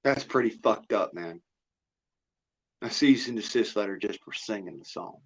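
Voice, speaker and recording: A man's voice: lowish voice, moderate pace. An American man talking in a rambling, casual monologue, blunt, folksy and freely swearing. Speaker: livestream host. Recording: dull, lo-fi audio.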